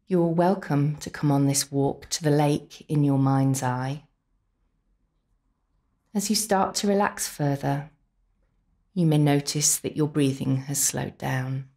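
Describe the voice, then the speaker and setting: Slow, low-voiced woman guiding relaxation. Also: mellow. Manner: unhurried, soothing, deliberate pauses. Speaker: complementary therapist leading guided relaxation. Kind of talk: guided visualisation, scripted narration.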